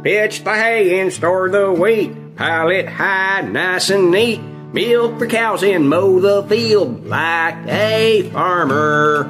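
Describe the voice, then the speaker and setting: A woman's voice: on the low side, slow pace, hardly any pauses. A woman voicing a hungry, folksy male farmer in a children's puppet show, hearty and rhythmic, over music. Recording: music under the voice.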